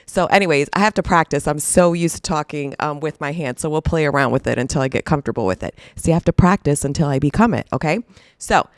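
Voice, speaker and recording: A middle-aged woman life coach with a hoarse voice from a cold, giving a blunt, emphatic casual talk to camera that is peppered with swearing. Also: sits low, quick pace, dry recording, studio quality.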